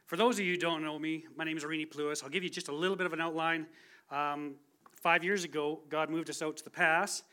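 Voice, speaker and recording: A man's voice: a little high, steady tone, a little fast, sharp tone. A man in his forties giving a casual, chatty testimony from the pulpit of a church, joking at his own expense. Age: 40s. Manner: casual, chatty, self-deprecating. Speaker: guest speaker. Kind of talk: conversational sermon testimony. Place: church sanctuary.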